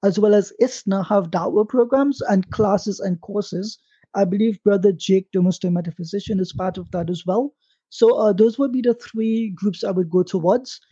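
A man's voice: high voice, moderate pace, warm tone, slightly rough, dry recording. A man on a live stream panel, informally giving callers advice and pointing them to resources. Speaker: panelist answering callers' questions. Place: online stream. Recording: dull, lo-fi online call.

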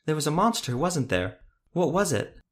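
A man's voice: fairly high pitch, sing-song, normal speed, pitched above the performer's own voice. A man voicing an eager, tearful young boy, pleading and whiny.

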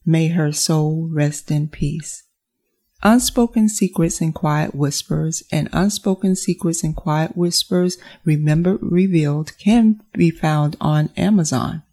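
A woman's voice: low-pitched, slow pace, warm tone. A woman reading a scripted closing announcement for an audio recording.

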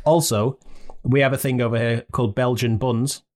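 A man's voice: mid-range voice, steady tone, normal speed, darkish tone. A man hosting a podcast, joking and chatty, sometimes stumbling over his words when he teases. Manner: joking, playful, stammers when teasing. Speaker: podcast host. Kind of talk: casual podcast chat.

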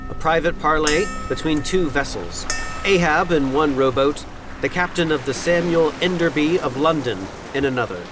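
A man's voice: on the high side, normal speed, over music, close to an everyday voice. A man playing a narrator who sets shipboard scenes in clipped, declarative phrases for an audio drama. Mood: scene-setting. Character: stage-direction narrator setting shipboard scenes.

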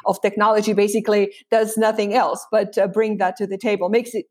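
A woman from Romania, a guest in a conversational interview, speaking reflectively with many pauses and restarts. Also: medium pitch, flat delivery.

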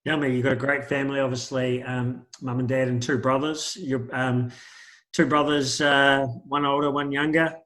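A man's voice: medium pitch, level tone, normal speed, fairly bright tone. A man hosting a casual interview, chatty and friendly with many fillers. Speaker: podcast host.

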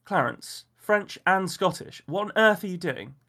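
A man voicing an exasperated adult mother with a sharp, incredulous way of talking. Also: sits high, animated, a little slow, voice pushed up, heavily acted.

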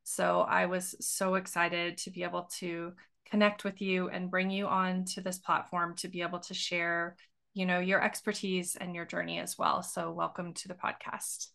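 A woman hosting a travel podcast, soft-spoken and earnest, reading an intro and then asking questions with a few ums. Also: fairly low pitch, level tone, moderate pace, gravelly. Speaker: podcast host. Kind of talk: podcast interview.

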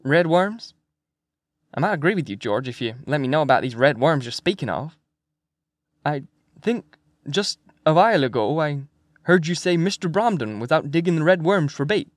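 A man's voice: fairly high pitch, animated, normal speed, no room sound, clean studio sound, natural voice. A man voicing a brash, cajoling ringleader in an audiobook, hearty and pushy with colloquial talk. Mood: coaxing. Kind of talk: acted audiobook character dialogue.

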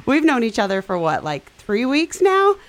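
A woman's voice: fairly high pitch, wildly swooping pitch, normal speed. A woman acting in an audio drama as a guarded but good-humoured young woman, with a wry, playful manner. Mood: gently firm.

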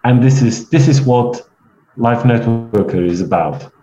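A man with a Polish accent, a guest in an interview, telling stories in a halting way with many restarts. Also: mid-range voice, music in the background. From Poland. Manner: rambling, halting storytelling with frequent restarts. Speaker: guest, networking coach. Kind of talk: conversational podcast interview. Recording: echoey, dull lo-fi sound.

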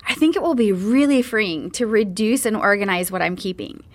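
A woman's voice: mid-range voice, moderate pace. A woman hosting a podcast, opening with a scripted, open and personal introduction. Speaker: podcast host.

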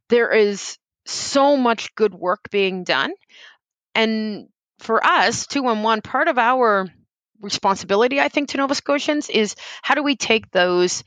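A woman's voice: mid-range voice, fairly bright tone, dry recording. A woman representing a helpline, answering interview questions in an earnest, explanatory way with frequent "you know" fillers.

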